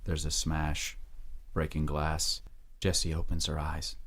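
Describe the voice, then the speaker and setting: Very deep, husky male narrator. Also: completely flat delivery, slow pace, a bit bright. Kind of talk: dramatic scripted narration in present tense.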